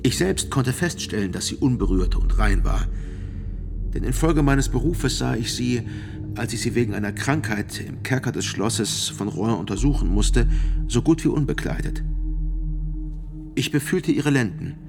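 An actor playing a sober physician who gives measured, precise testimony as a witness in a radio drama. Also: male voice, mid-range voice, animated, vocal fry, over music. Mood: sober, grave.

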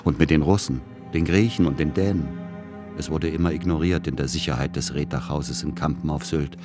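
An actor playing a melancholy grown son, narrating his past in a measured, reflective radio play style. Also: a bass voice, steady tone, music in the background. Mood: bitter. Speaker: first-person narrator.

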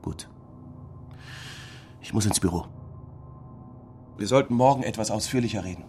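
An actor playing a sceptical academic colleague in a radio drama, cautioning in a measured, blunt way. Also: male voice, lowish voice, a little mellow, soft background music, natural voice. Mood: sceptical, warning.

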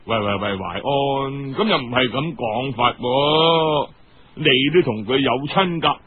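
A man's voice: mid-range voice, measured pace, phone-quality sound, a crowd in the background, pitched below the performer's own voice, close to an everyday voice. A man voicing a keen older uncle with a Cantonese accent, coaxing his nephew in colloquial, emphatic speech. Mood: persuasive. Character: keen, persuasive older uncle pitching business.

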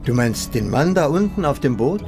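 An old husband speaks with calm resignation and tenderness. His lines are short and plain, in the intimate manner of a radio play, with music clearly behind him. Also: mid-range voice, normal speed. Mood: resigned, gentle. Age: older. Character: elderly husband, resigned, tender, calmly facing the end. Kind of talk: German radio drama acting.

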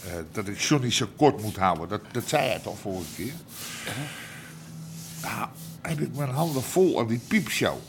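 An actor in a radio drama playing a grumbling Amsterdam red-light boss, colloquial and full of hesitant second thoughts. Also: male voice, mid-range voice, sing-song, normal speed, pauses often. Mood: musing, doubtful. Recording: clear ambient noise behind voice.

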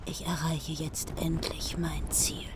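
Slow, vengeful grieving queen. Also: low-pitched, flat delivery, in a whisper. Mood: cold menace. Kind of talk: German radio drama acting.

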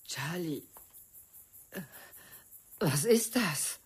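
An actress playing a suspicious, scornful country wife in a radio drama, with halting, drawn-out speech. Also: female voice, low-pitched, lively intonation, breathy edge, exaggerated voice. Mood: suspicious, mounting horror.

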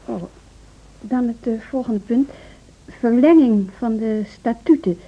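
An actress playing an earnest, hesitant female music teacher who keeps gently probing, in a radio drama. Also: a little high, slow, husky, narrow, tinny audio, exaggerated voice. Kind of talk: Dutch radio drama acting.

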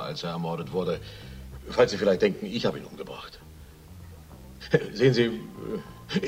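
An actor playing a bulky, evasive middle-aged racehorse owner and former miner in a radio crime drama, hesitant and self-justifying. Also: male voice, on the low side. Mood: nervous, insistent. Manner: hesitant, halting, self-justifying, with stumbling fillers.

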